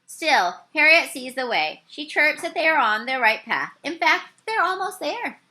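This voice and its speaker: Bright, high-pitched woman reading a storybook. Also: sing-song, unhurried. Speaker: storybook reader. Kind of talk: scripted children's story read-aloud.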